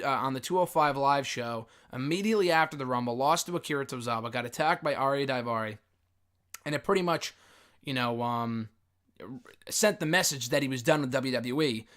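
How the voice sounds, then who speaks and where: A man getting over a cold, his voice hoarse and raspy, hosting a wrestling news channel and giving his opinions in a chatty, casual way with lots of ums. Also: mid-range voice, pushed and bright. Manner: chatty, opinionated, frequent um fillers. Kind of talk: casual news commentary to camera.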